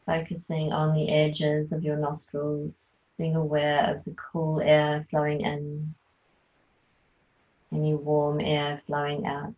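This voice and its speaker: Woman teacher, guided relaxation: low, flat and unhurried, soft and soothing, thin phone-like sound. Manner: slow, soft, soothing instruction. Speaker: yoga nidra teacher.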